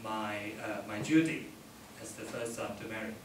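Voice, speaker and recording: A middle-aged man with an Indonesian accent tells his own story at a panel discussion, pausing often mid-sentence. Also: on the low side. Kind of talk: personal account at a panel discussion. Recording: roomy, noisy, distant microphone.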